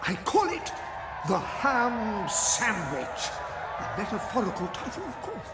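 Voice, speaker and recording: A man's voice: high-pitched, huge pitch swings, a little slow, heavily acted. A man playing a gushing, grandiose playwright in a fantasy game, pompous, reverent and theatrical. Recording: echoey, loud crowd-like noise behind.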